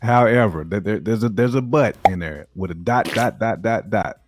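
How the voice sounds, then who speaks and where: A man's voice: lowish voice, normal speed, no echo, faint music behind. A man co-hosting a casual hip hop discussion, speaking emphatically and repeating phrases to build his points. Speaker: podcast co-host.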